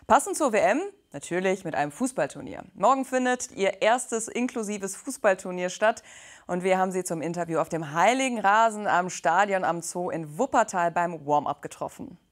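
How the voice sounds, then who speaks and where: A woman's voice: on the low side, animated, moderate pace. A woman presenting a news segment in a scripted, upbeat way.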